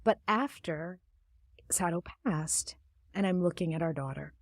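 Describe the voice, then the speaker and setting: A woman guest in a conversational interview, talking about her book in a reflective, soft-spoken storytelling manner. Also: lowish voice, measured pace, no room sound. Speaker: author and guest. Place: studio.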